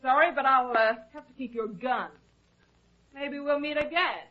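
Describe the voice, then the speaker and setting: Actress as a high-voiced, brazen femme fatale. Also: female voice, normal speed, lightly acted. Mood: taunting, flirtatious. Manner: taunting, coy. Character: brazen, flirtatious armed female villain, coolly threatening. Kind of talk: old-time radio detective drama acting. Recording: dull, lo-fi old radio recording.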